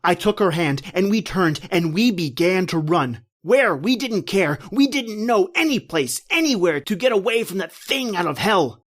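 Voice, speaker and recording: An actor playing a terrified, desperate man recounting his flight from a monster, in intense old-time radio horror style with drawn-out, repeating phrases. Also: sits high, lively intonation, a bit bright. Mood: frantic. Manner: intense, breathless repetition, drawn-out dramatic phrasing. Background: music.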